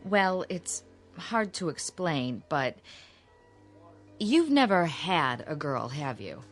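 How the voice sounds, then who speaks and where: An actress playing a worried, bossy sister in a radio drama, speaking frankly and insistently with strong emphasis. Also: female voice, deep voice, sing-song, slow pace, faint music behind, full character voice. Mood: blunt, worried. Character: blunt, pushy, worried sister of a shy man. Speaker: actor in a radio play.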